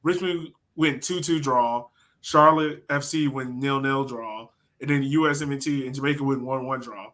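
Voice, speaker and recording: A man with a bright, forward voice at a middle pitch co-hosts a sports podcast. He talks casually and slangily, like someone telling a story to a friend. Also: normal speed. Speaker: soccer podcast co-host.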